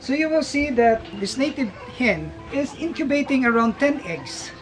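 A man's voice: very high voice, sing-song, normal speed, music in the background, low-quality recording. A middle-aged man, a farm vlogger, giving an upbeat casual tour of his outdoor farmyard and coop while explaining to viewers.

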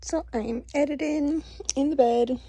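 A woman's voice: sits high, fairly even intonation, unhurried, heavy background noise. Young adult woman, chatty vlogger, talking straight to camera at arm's length. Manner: chatty, upbeat, talks straight to viewers. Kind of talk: casual vlog chat to camera. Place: car, bedroom and patio in a hot place. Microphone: camera held at arm's length.

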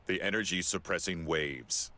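A man voicing a stoic, towering android in an anime game, speaking in a formal, unemotional and deliberate way. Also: deep voice, bright, forceful tone, dry recording. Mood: flat, calm. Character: stoic towering male android, calm and grave. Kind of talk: anime game dub acting.

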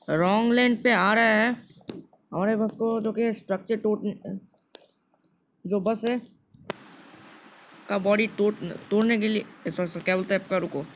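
A man's voice: high voice, fairly even intonation, moderate pace, pauses often, a bit bright. A man with an Indian accent giving casual live gameplay commentary as a video host, often repeating phrases and mixing in English words. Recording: noisy amateur screen recording with ambient sound.

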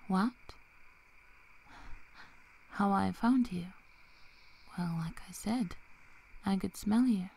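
A woman playing a young, protective, teasing female werewolf in a soft, half-whispered ASMR roleplay, with long pauses. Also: mid-range voice, heavily acted, low-quality recording. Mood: guarded, softly teasing. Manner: slow, hushed, breathy delivery with long pauses.

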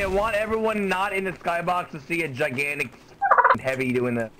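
A man joking around with other players over in-game voice chat, goofy and showing off. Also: sits high. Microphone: in-game voice chat mic. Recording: noisy voice chat mic.

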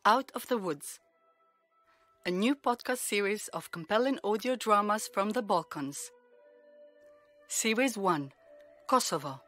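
A woman's voice: mid-range voice, animated, unhurried, pauses often, fairly bright tone. A woman reading scripted promotional narration as the announcer of an audio drama trailer. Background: music.

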